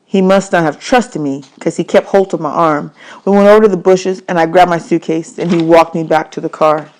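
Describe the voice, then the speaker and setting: Woman w a lowish voice reading a kids novel out loud, teacher style, expressive and deliberate. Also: poor audio. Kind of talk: expressive audiobook narration of a children's novel.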